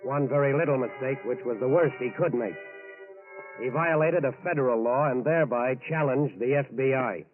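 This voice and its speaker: Grave 1940s radio narrator over music. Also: male voice, medium pitch, normal speed. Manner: grave, emphatic. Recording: tinny, narrow-band 1940s radio recording.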